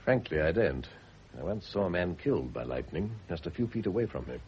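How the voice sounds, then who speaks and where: An actor playing an urbane widower, courteous, dry and evasive, in classic radio drama style. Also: male voice, low voice, sing-song, a little fast. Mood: casual, evasive. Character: urbane, evasive widower, dryly deflecting a woman's advances. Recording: dull old radio recording, faint hiss.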